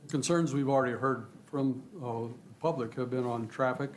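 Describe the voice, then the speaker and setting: A commissioner who lists points one by one in a deliberate way. His voice is mid-pitched and flat. There is faint room noise behind him. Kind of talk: questions at public meeting.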